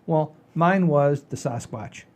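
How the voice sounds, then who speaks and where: A man's voice: fairly high pitch, slow, darkish tone. A man in his sixties hosting a casual comedy chat show in a folksy, rambling, enthusiastic way. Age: 60s. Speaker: podcast host.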